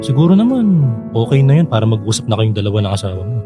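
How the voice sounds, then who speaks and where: A man's voice: mid-range voice, very animated, very fast, lightly acted. A man playing a frank, caring friend who gives firm advice in a Filipino radio drama, with a Filipino accent. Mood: urging, firm. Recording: guitar music behind the voice.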